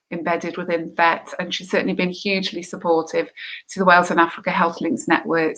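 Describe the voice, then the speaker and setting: A woman's voice: lowish voice, fairly bright tone, poor audio. A woman chairing an online event, introducing the next speaker with some fillers.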